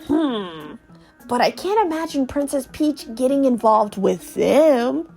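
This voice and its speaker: A woman's voice: high-pitched, big pitch swings, slow, creaky, warm tone, faint music behind, heavily acted. A woman voicing a shriveled old male Goomba professor from a video game, explaining things in a fussy, cautious way. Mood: earnest, advising. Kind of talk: video game character read aloud.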